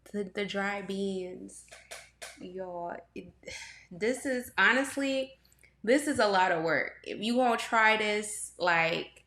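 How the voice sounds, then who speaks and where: A woman's voice: medium pitch, slow pace, long pauses, sharp tone. A young woman from Trinidad and Tobago chats her way through a reaction video, circling back with fillers and restarts. Her voice sits mid-range for a woman. The room around her adds a noticeable echo. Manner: chatty, rambling, many fillers and restarts, frequent laughs. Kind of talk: casual reaction commentary. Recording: roomy echo.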